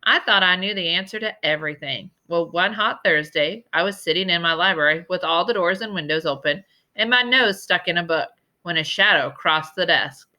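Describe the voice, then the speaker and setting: A woman voicing a genteel old lady librarian from a storybook, telling tales in a deliberate, dramatic way with emphatic repetition. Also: lowish voice, pushed and bright. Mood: dramatic, suspenseful. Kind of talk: storybook character voice in a read-aloud.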